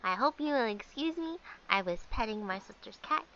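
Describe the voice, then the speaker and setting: A bubbly young woman talks with a blocked, nasal sound from allergies, her voice bright and a touch high. She rambles along with many fillers. The recording is dull and lo-fi. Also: expressive, normal speed. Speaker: artist chatting while drawing. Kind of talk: casual rambling chat.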